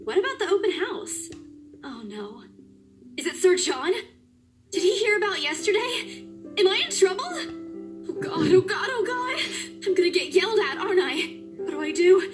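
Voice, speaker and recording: Isabella, a high-voiced anxious young agent. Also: female voice, big pitch swings, moderate pace, long pauses. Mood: panicked. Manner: earnest, flustered, tender with family. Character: anxious young woman real estate agent. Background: game music.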